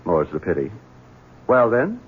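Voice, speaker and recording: A man's voice: lowish voice, wildly swooping pitch, unhurried, husky, exaggerated voice. An actor playing a jumpy, domineering, selfish man of the house in a radio drama, stammering and curt. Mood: irritable, curt. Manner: nervous stammering, curt orders. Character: jumpy, domineering, selfish Southern son and master. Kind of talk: old-time radio drama acting. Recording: tinny, noisy old radio broadcast.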